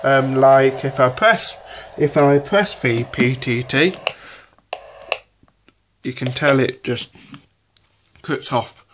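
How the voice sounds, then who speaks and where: The unhurried, stop-and-start voice of someone of unclear sex demonstrating a gadget in a homemade tutorial video, with a little noise behind. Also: mid-range voice. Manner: halting, repetitive, restarts sentences often. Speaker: presenter of a gadget how-to. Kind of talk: informal unscripted demonstration. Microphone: camera or tablet propped nearby. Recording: noisy amateur recording with clicks.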